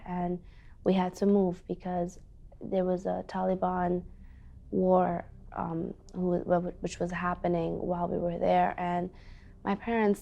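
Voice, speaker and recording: Earnest young woman, gravelly and flat. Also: lowish voice. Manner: earnest, halting, many fillers. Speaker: teacher. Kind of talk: personal storytelling interview. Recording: faint background music.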